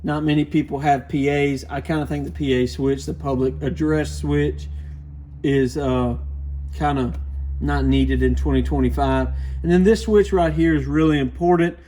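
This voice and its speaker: A man's voice: a little high, fairly even intonation, moderate pace, mellow, slight background noise. A man presenting a casual product demonstration with beginner advice, explaining things in an informal, plainspoken way. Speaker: radio shop product reviewer, presenter.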